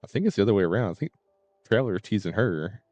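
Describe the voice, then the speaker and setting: A man's voice: on the low side, expressive, fast talker, a little mellow, no room sound. A man livestreaming a game, giving casual commentary over it. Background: game music.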